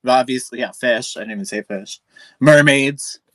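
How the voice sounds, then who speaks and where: A woman's voice: rumbling low, moderate pace, fairly bright tone, no echo. A middle-aged woman co-hosting a casual livestream, rambling and often interrupting herself as she talks. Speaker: co-host of a vintage seller livestream. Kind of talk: casual unscripted livestream chat.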